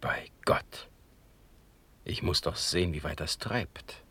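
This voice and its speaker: An actor playing a commanding, sceptical prince-elector in a classical verse radio drama, with a weighty, authoritative manner. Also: male voice, sits low, takes it slowly, pushed and bright, husky, in a whisper, full character voice. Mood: musing, curious.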